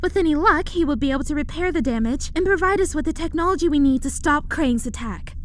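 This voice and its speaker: A woman's voice: high-pitched, animated, fast. A woman playing a young alien princess, speaking formally with earnest, poised emphasis. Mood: composed. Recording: faint background noise.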